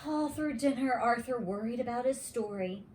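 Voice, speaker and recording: A woman reading a bedtime story aloud to young children in an expressive, deliberate teacher's manner. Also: on the high side, slight background noise.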